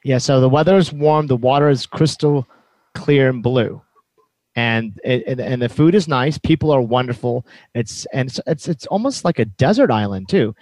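A man's voice: mid-range voice, animated, moderate pace, a little mellow, no echo. Enthusiastic male host, dry close sound. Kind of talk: interview chat.

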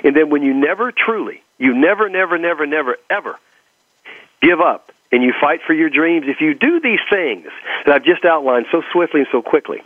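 A man's voice: a little high, expressive, brisk, dry recording, phone-quality sound. A man with a Texas accent giving motivational talk and personal stories as an interview guest, emphatic and given to repeating phrases for effect. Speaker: motivational speaker and coach being interviewed.

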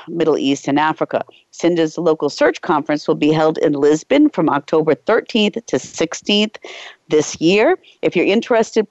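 A woman's voice: low voice, darkish tone, no room sound. A woman hosting a radio interview show, talking in a friendly, conversational way. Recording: dry, slightly dull radio studio sound.

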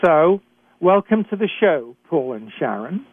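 An older man with a British accent introduces his guests on a radio talk show. His reading is formal and measured, with a few small slips. The sound is thin and phone-like, with no room around it. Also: fairly high pitch, very animated, no room sound. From Britain.

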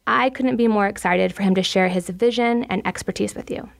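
A woman's voice: medium pitch, a little fast, vocal fry. Eager woman hosting a podcast. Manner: eager and effusive, rambling questions. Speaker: podcast host. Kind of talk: casual interview.

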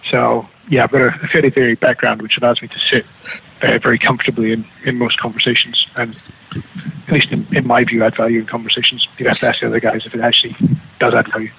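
A man with a New Zealand accent, a guest on a remote radio interview, talking quickly with many restarts and fillers. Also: mid-range voice, little pitch movement, gravelly, pushed and bright, breathy edge, dull audio. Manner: fast, with frequent restarts and fillers. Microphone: remote call with slight lag.

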